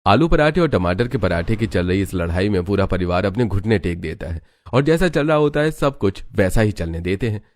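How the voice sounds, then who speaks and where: A man's voice: lowish voice, animated, brisk, few pauses, no room sound, soft background music. A man narrating a scripted family story as its storyteller.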